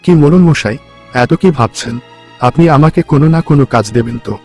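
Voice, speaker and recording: A man's voice: medium pitch, expressive, fast, darkish tone, faint music behind, natural voice. Poor village husband for a cartoon, played by a man: mid-range voice, meek and earnest. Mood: pleading. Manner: humble, earnest. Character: poor, weak, pleading husband seeking work. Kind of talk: cartoon story dialogue.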